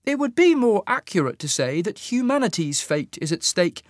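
A man voicing a cold, haughty alien male officer who speaks in a raised, formal, measured way. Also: high-pitched, sing-song, no echo, studio quality, pitched above the performer's own voice.

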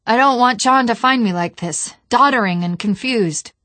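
A woman's voice: medium pitch, takes it slowly, dry recording. A woman playing a failing female artificial intelligence in an audiobook, urgent, emotional and defiant as her mind breaks down. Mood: anguished pleading.

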